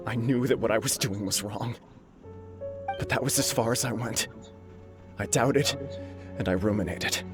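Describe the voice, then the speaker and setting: A man's voice: mid-range voice, lightly acted. Man playing an earnest, remorseful young nobleman. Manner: earnest, solemn, formal. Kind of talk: dramatic video game acting.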